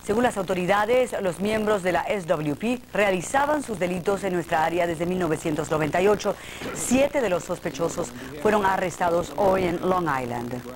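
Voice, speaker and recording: A woman's voice: on the low side, lively intonation, a little fast, crowd noise. A woman anchoring a television newscast, reading scripted news on an old broadcast tape.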